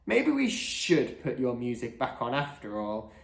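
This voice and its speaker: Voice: medium pitch, wildly swooping pitch, measured pace, gravelly, echoey room, full character voice. A reader as a flustered, put-upon dad. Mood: awkward. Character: flustered, mild-mannered, put-upon father.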